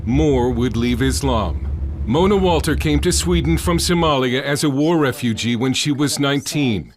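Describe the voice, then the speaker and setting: A man's voice: medium pitch, slight background noise. A man narrating a scripted news report as a reporter.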